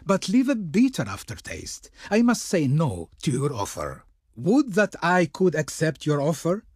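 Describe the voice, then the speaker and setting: An actor playing a haughty, pompous envoy of an ancient Egyptian Pharaoh in a strategy video game, declaiming in ornate, theatrical phrases. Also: male voice, high voice, husky, no room sound, clean studio sound, exaggerated voice. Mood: courteous refusal. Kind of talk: theatrical historical strategy game diplomacy lines.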